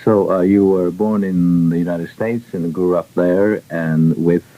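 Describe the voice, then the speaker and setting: A man's voice: deep voice, fairly even intonation, unhurried. A man hosting a radio interview, asking careful questions and giving constant "mm-hmm" backchannels. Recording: narrow, tinny old radio recording.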